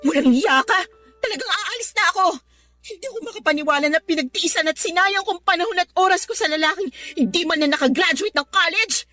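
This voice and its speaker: A woman's voice: high voice, fairly bright tone. A woman acting an ambitious, scornful young girlfriend in a Filipino radio drama, with an emotional, cutting delivery. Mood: furious, insulting. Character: ambitious, scornful young girlfriend leaving her poor boyfriend.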